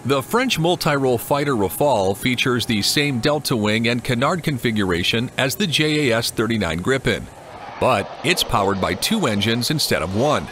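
A man reading a scripted documentary narration in an even, measured way. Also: medium pitch, a little hiss or hum. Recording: voice-over with clear music behind.

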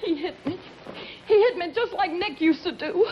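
An actress playing a bitter, desperate gangster's moll with a sardonic, clipped, dramatic delivery in a 1950s television crime melodrama. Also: female voice, very high-pitched, normal speed. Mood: distraught. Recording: muffled, noisy 1950s television soundtrack.

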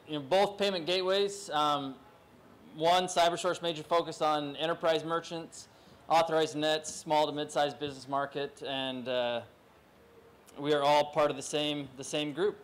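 A man giving a relaxed conference talk on stage in a large dark hall, speaking with many fillers. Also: on the high side. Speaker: conference presenter.

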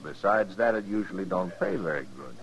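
An actor playing a smug, sly gambler with a folksy, insinuating manner in a 1950s radio western. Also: male voice, low voice, expressive, brisk. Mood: smooth, needling. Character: smug, sly crooked gambler in the Old West. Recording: 1950s radio recording, crowd noise behind.

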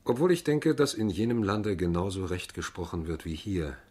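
An actor playing a sober, cautious lawyer in a German radio play, with a husky voice. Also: male voice, sing-song, moderate pace, darkish tone, exaggerated voice. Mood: reflective. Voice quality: husky, low. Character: sober, cautious male lawyer narrating his case. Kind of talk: radio play narration and dialogue.

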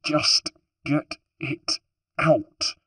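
A fiery young woman thief with a foul mouth, snarling and cursing, as a man puts her on. The voice sits well above a low male register. It is dry and close, with the grit of someone in pain. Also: fairly even intonation, very slow, bright, forceful tone, slightly rough, no room sound, heavily acted.

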